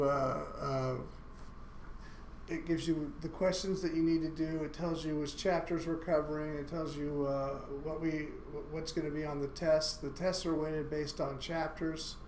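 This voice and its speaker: A male university instructor going through a course syllabus in a recorded online lecture, talking in a monotone with many halting fillers and restarts. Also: on the high side, a little hiss or hum. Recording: roomy echo, faint room ambience.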